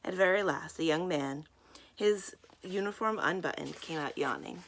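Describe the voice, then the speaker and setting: A woman's voice: fairly low pitch, normal speed, slight background noise. A woman reading a children's book aloud as a storyteller, in scripted narration.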